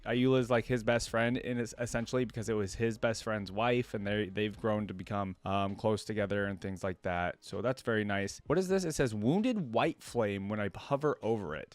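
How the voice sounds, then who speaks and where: A man's voice: medium pitch, clear, resonant tone, no echo. A man hosting a reaction video, commenting casually on game voice lines and musing aloud with frequent questions.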